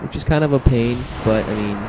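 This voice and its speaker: A young man, slightly hoarse from a congested throat, rambling casually through his own match footage with many fillers and self-deprecating asides. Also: medium pitch, fairly quick, background noise. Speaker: gameplay commentator. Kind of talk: casual gameplay commentary.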